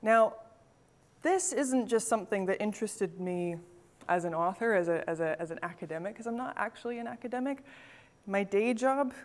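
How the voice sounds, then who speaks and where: A woman's voice: medium pitch, expressive, moderate pace. Canadian woman hosting a business keynote. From Northern Canada. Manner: storytelling, uses forest imagery, occasional stammered repeats. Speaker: event host and keynote speaker. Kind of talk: scripted keynote address.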